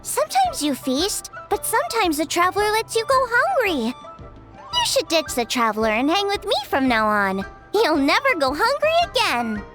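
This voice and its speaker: A woman's voice: very high-pitched, huge pitch swings, normal speed, fairly bright tone, exaggerated voice. A woman voicing a spirited young chef girl in a video game, bubbly, teasing, bossy and caring. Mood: playful. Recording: music behind the voice. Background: music.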